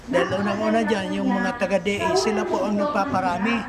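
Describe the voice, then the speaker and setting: A woman's voice: mid-range voice, fairly even intonation, fairly quick. A woman giving plain-spoken, insistent testimony as a farmer, repeating her points. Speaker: farmer and rice breeder, interviewee. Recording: echoey space with loud crowd noise.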